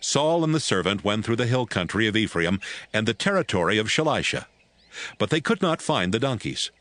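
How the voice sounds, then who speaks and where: A man narrating scripture from a script in a measured storytelling manner. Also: fairly low pitch, big pitch swings, a bit bright.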